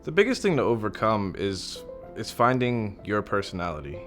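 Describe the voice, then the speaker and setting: A man's voice: fairly low pitch, big pitch swings, normal speed. A man with a New York accent, a student in an interview testimonial, thoughtful and a little nervous. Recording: soft music underneath. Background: background music.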